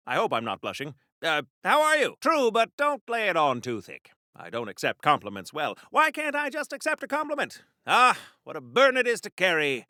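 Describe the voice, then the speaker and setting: A man's voice: sits high, pushed and bright, no echo, clean studio sound, heavily acted. A man playing a chatty, pompous wartime comic-book hero in a video game, with flowery diction in a theatrical 1940s radio serial style. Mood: flustered.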